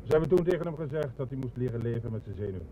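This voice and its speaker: A man acts a self-assured banker in a radio play, brushing worries aside breezily. He talks fast with lively swings of pitch, over faint background noise. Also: close to an everyday voice. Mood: reassuring, dismissive. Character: brisk, reassuring male bank man, self-assured. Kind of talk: Dutch radio play acting.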